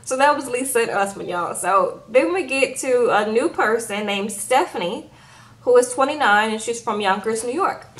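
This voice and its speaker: A young woman recapping a reality show in casual, animated chat, often tagging her lines with "okay?". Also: on the high side, moderate pace.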